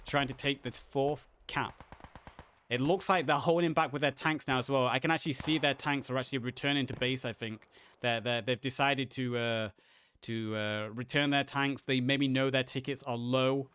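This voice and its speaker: A man casting a live game match, play-by-play with fillers, a little hoarse as he is losing his voice. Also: mid-range voice, moderate pace. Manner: excited play-by-play with frequent uh fillers. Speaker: esports caster. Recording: audible game gunfire and artillery behind. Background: game gunfire and artillery sounds.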